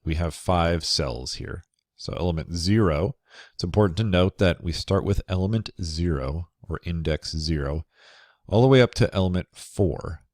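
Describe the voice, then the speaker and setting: A man teaching a programming course over slides, sounding nasal and a bit crackly from a cold, talking in a relaxed way with many fillers. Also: sits low, normal speed, dry recording, clean studio sound. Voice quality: slightly crackly. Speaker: programming instructor. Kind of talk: recorded lecture over slides.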